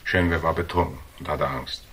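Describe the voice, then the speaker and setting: An actor playing a cool, defiant hardboiled investigator in a German radio thriller, dry, laconic and taunting. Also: male voice, extremely low pitch, fairly even intonation, a little fast, fairly bright tone, a little hiss or hum. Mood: insistent. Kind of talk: German radio crime drama acting.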